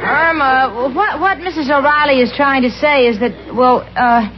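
A woman's voice: high voice, normal speed, over a noisy crowd, lightly acted. An actress in a 1950s radio sitcom playing a sensible young woman who talks to her friend in a soothing, hesitant way. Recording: dull lo-fi 1950s radio broadcast with hiss. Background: studio audience laughter.

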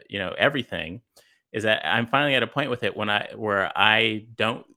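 Guest writer, man, monotone, lots of ums, bright tone, lowish. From Los Angeles, USA. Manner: monotone, hesitant with many fillers. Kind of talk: casual interview answers.

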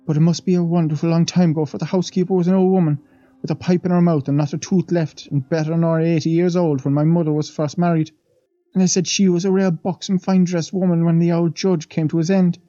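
A man's voice: a little high, level tone, fast, dark tone, no room sound, pitched above the performer's own voice, close to an everyday voice. A man reading an audiobook voices a superstitious middle-aged Irish housemaid who chatters with fearful, pious asides, on a dull, dry recording. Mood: gossiping.

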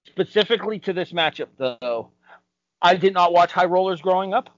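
A man's voice: high-pitched, expressive, moderate pace, no echo. A man in his thirties co-hosting a casual podcast panel, arguing his points in an emphatic, opinionated way. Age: 30s. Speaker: podcast co-host. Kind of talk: casual panel debate about game shows. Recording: dull, dry lo-fi recording.

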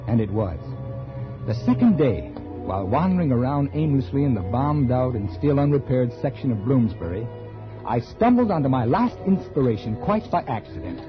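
A man's voice: medium pitch, sing-song, normal speed, music in the background, lightly acted. An actor playing an ambitious, scheming American writer, telling his story intensely and theatrically in 1940s radio style. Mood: reflective, suspenseful. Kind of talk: 1940s radio acting, dramatic first-person narration. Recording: muffled 1940s radio recording.

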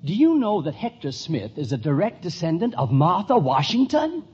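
An actor playing a fussy, earnest, formal society official in a 1950s radio sitcom. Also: male voice, a little high, wildly swooping pitch, moderate pace, darkish tone, breathy edge, heavily acted. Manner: formal, pompous. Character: fussy, earnest, self-important male historical society head. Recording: muffled old radio broadcast recording.

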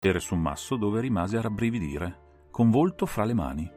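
A man's voice: lowish voice, lively intonation, brisk, a little mellow. A man narrating an audiobook in a dramatic, theatrical and suspenseful style. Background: faint music and sound design.

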